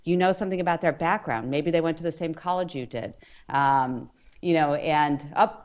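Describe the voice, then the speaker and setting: Woman with a big loud voice giving straight advice, low-ish pitch, dull recording. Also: moderate pace. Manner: loud, direct, conversational. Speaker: panelist, former federal prosecutor. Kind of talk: panel talk, giving advice. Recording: dull lo-fi sound.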